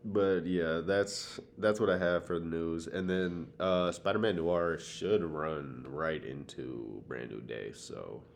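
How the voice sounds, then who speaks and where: A man's voice: low voice, fairly even intonation, takes it slowly, vocal fry, hardly any pauses, a little hiss or hum. A young American man co-hosting a podcast with casual, slangy talk about pop culture. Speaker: podcast co-host.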